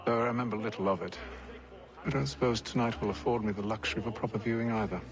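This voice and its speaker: A man's voice: on the low side, fairly even intonation, fast talker, close to an everyday voice. An actor playing a composed, reserved nobleman who speaks in short, commanding phrases. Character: composed, curt adult male English gentleman. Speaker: nobleman attending the opera. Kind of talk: video game cutscene acting.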